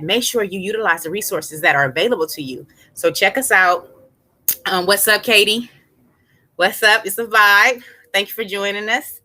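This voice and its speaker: A woman's voice: medium pitch, pushed and bright. An American woman hosting a live online interview in a casual, slangy, encouraging way. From Los Angeles, United States. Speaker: host of an online business community show.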